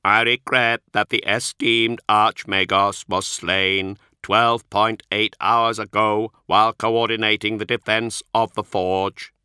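A man voicing a cold, formal male tech priest whose synthetic voice is harsh and atonal, spoken slowly and precisely. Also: lowish voice, level tone, pushed and bright, clear, resonant tone, no echo, clean studio sound, voice pushed up, full character voice. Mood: measured. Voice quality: harsh, atonal, strangely inflected. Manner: slow, clipped, precise delivery.

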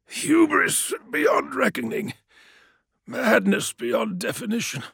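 A wounded, grey-haired warlord priest in an audiobook, wheezing and spitting out defiant curses in a high, strained, gravelly voice. He drags out his words slowly, his tone bright and breathy with pain. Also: very animated, no room sound, clean studio sound, heavily acted. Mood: contemptuous. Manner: screaming, sneering tirade.

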